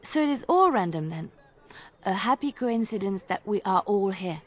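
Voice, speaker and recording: An actress playing a devout, idealistic young companion in a fantasy game, speaking in a gentle, earnest way. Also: female voice, mid-range voice, big pitch swings, normal speed. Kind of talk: dramatic game dialogue.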